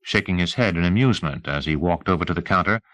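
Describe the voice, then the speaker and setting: A man with a fairly low voice narrates an audiobook novel. His storytelling is measured and clearly articulated, recorded dry with little room sound. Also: fairly bright tone, no echo.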